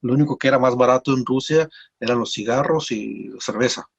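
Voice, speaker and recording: Mexican man, halting storyteller, muffled audio. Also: medium pitch, vocal fry. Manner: rambling, halting with repeated words. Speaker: interview guest. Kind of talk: casual conversational storytelling.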